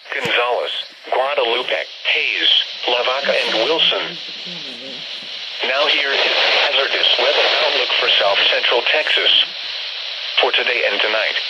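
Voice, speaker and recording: A synthetic male voice reading a formal, measured, impersonal scripted weather bulletin as an announcer over the radio. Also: mid-range voice. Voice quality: synthetic text-to-speech voice. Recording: noisy weather radio broadcast.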